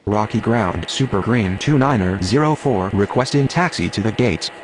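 A low male voice, acted by a man, works air traffic radio in a game. He is formal and businesslike, with clipped phrases over faint vehicle noise. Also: lively intonation, normal speed, lightly acted. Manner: formal, procedural.

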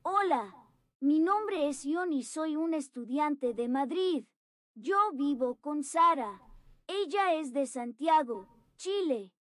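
A synthetic woman's voice reading a language-app lesson line slowly and clearly. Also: sits high, expressive. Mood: cheerful. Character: cheerful text-to-speech voice of an app character.

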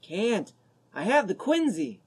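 Man playing an eager, husky-voiced teenage boy. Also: high-pitched, sing-song, takes it slowly, a little mellow, heavily acted. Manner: eager, boyish. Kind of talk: amateur radio drama acting.

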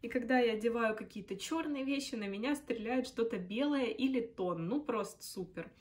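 A woman's voice: a little high, moderate pace. Woman, beauty vlogger, casual makeup review, chatty, frank, grumbling.

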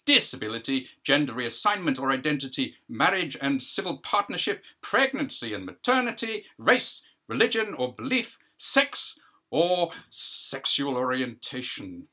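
A man's voice: medium pitch, very animated, unhurried, sharp tone, voice pushed up, exaggerated voice. A man voicing a formal, officious prosecuting counsel in a stage play, precise and legalistic.

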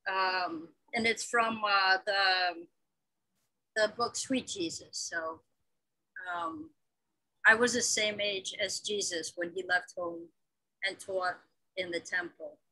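A middle-aged woman with a husky voice, reading poetry aloud over a video call from a room at home. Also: medium pitch, slow pace, pauses often, pushed and bright, low-quality recording. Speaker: poetry reader. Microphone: headset on a video call.

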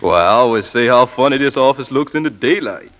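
An actor playing a humble, chatty night janitor in a 1940s radio play, with folksy, deferential speech. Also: male voice, medium pitch, expressive, a little fast, fairly bright tone, lightly acted. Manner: folksy, uneducated grammar, deferential. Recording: vintage 1940s radio broadcast.

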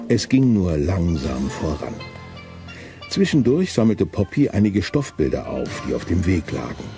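A man's voice: deep voice, exaggerated voice. A man narrating a children's audio drama from a script. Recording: music under the voice. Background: music.

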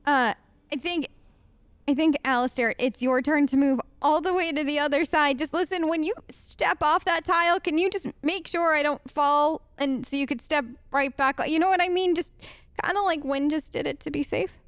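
A woman's voice: sits high, moderate pace, fairly bright tone, dry recording. A woman doing casual, chatty role-play game commentary with a playful, wry manner. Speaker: gamer, let's player.

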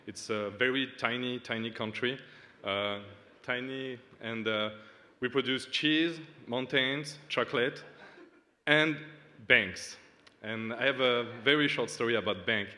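A man's voice: mid-range voice, sharp tone. A man from Switzerland giving a hesitant, earnest startup demo on stage into a lectern mic. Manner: halting, earnest, many fillers. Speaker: startup founder demoing. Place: large dark hall on stage. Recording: long hall reverb.

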